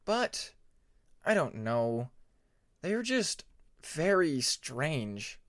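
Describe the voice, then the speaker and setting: A man's voice: fairly high pitch, big pitch swings, extremely slow, a bit bright, full character voice. A man voicing a mild-mannered young male dragon who speaks earnestly and a little hesitantly.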